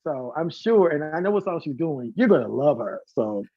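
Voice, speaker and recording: A man chatting casually as a guest singer, talkative and effusive, rambling excitedly about his plans and fellow performers. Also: on the high side, normal speed, highs cut off. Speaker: guest, singer and concert organiser. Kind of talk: casual live chat, talkative promotion.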